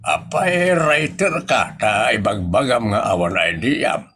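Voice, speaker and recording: A man playing a stern, exasperated older village man with an Ilocano accent in a comedy audio drama, interrogating gruffly. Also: mid-range voice, very animated, takes it slowly, pushed and bright, heavily acted.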